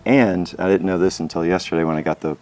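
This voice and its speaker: An easygoing man talks casually with a host in his backyard. He is relaxed and pokes fun at himself now and then. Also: deep voice, fast talker. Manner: easygoing, self-deprecating. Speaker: homeowner guest. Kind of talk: casual conversation. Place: outdoors in a backyard garden.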